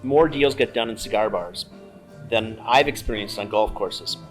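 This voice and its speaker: Middle-aged man, bar host, low voice, measured, dry humor, faint music behind. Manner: measured, dryly joking. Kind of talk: casual chat.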